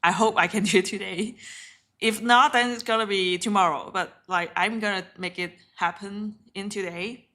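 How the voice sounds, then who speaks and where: A woman's voice: medium pitch, steady tone, moderate pace, bright, forceful tone. A woman whose first language is Cantonese, talking casually and candidly through a live trading stream with off-hand laughs. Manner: chatty and candid, swears offhandedly, often laughs. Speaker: live-streaming day trader.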